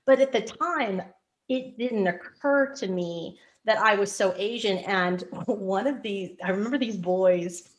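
A woman guest in an interview, telling lively personal stories with frequent restarts. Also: medium pitch, a little slow, rough recording. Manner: animated storyteller, frequent restarts. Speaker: podcast guest. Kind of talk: conversational interview.

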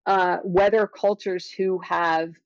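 Creaky-voiced woman explaining science carefully. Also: on the low side, level tone, no echo. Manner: careful, qualifying, measured explanations. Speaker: academic guest, science author. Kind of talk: explanatory interview conversation. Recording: dull, slightly lo-fi dry audio.

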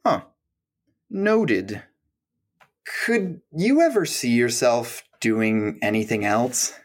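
A young man, awkward and secretly smitten, in a romantic comedy audio drama. His delivery is slow and halting, full of stammers and nervous rambling, at a medium male pitch. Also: lively intonation. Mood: shy, hopeful. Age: young adult. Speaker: actor in an audio play.

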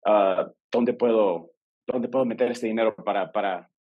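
A man from El Salvador with a Salvadoran accent, a guest on a podcast, telling his story in a lively way and acting out the dialogue he quotes. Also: on the low side, normal speed, breathy edge, narrow, tinny audio. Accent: Salvadoran Spanish. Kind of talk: casual storytelling interview.

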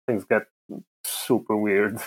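A man's voice: on the low side, flat delivery, slow, rough recording. A man chatting casually as a podcast guest, giving his review in a conversational way.

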